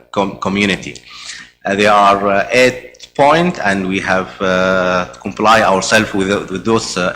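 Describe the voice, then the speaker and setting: A man's voice: lowish voice, bright, forceful tone, slight background noise. A man whose first language is Arabic, chairing a conference session, speaking hesitantly with many fillers and restarts. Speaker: meeting chair presenting the agenda. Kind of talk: conference presentation. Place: conference hall.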